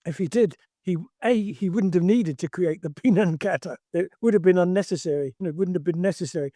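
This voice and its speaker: A man's voice: sits high, fairly quick, mellow, no room sound, clean studio sound. An older man who teaches karate and talks emphatically in a discussion, restarting his sentences often.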